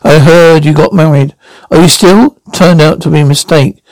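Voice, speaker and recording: A man's voice: on the high side, fairly even intonation, moderate pace, a little mellow, no room sound, natural voice, rough recording. A man reading a frank young small-town woman.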